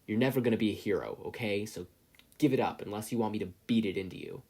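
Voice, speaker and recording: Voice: mid-range voice, fast talker, a little mellow. A reader voicing a scornful, hot-headed teenage boy who leads bullies, scoffing as he talks. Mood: threatening. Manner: scoffing, gruff.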